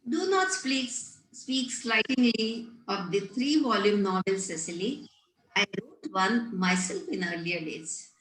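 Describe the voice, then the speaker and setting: A woman's voice: medium pitch, animated, measured pace, fairly bright tone. A woman playing a prim, pompous older governess in a table read of a Victorian comedy, formal, didactic and scolding. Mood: lofty. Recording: roomy, echoey home recording.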